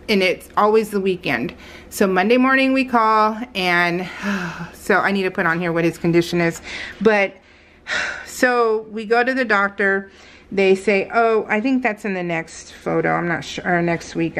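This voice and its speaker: American lady craft vlogger, medium pitch, chatty and rambly, acts out quotes as she tells stuff. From California, United States. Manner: rambling, animated retelling with acted-out quotes. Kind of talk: casual chat while crafting, voiced in real time.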